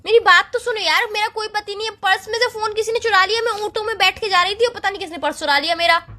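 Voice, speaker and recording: A woman's voice: very high voice, quick pace, bright, forceful tone. A young woman playing a pleading, jealous, insistent girlfriend in a casual comic phone-call skit. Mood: upset, pleading.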